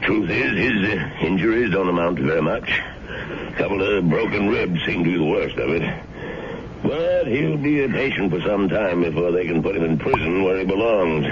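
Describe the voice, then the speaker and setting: Calm doc in an old radio play, deep deep voice, muffled old tape. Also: male voice, normal speed, a crowd in the background. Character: kindly middle-aged male town doctor. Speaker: actor in a radio drama. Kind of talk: 1970s radio drama acting. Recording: dull old radio recording.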